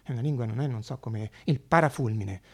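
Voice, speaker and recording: A male storyteller narrating a theatrical monologue. His voice is dark and husky, with a creaky grain. He speaks in a hushed, deliberate way with dramatic pauses. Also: mid-range voice. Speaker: actor-storyteller.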